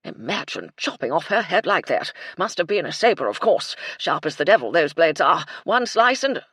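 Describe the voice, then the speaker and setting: A narrator voicing a hearty, addled old army colonel who talks loudly in bursts of exclamation. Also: male voice, fairly high pitch, big pitch swings, moderate pace, slightly rough, no room sound, clean studio sound, full character voice. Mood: relishing. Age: older.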